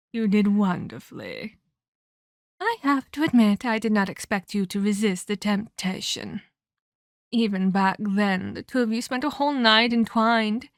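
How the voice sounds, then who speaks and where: A woman playing a haughty, menacing vampire elder in a scripted ASMR roleplay, speaking in a deliberate, taunting, condescending way with formal phrasing. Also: fairly high pitch, no room sound, clean studio sound. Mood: pleased, praising, then amused, mocking.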